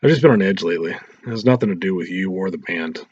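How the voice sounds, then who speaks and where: Man voicing a weary troubled teenage boy, husky. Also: sits low, quick pace, darkish tone. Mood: apologetic. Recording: roomy echo, dull lo-fi sound.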